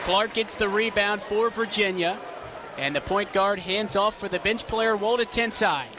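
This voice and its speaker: High-voiced young male play-by-play sports announcer. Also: normal speed. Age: young adult. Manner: clipped, announcer-like phrasing. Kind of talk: live sports reporting and radio play-by-play commentary. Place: basketball arena broadcast. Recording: noisy arena, crowd behind the voice. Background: crowd noise, bouncing basketball.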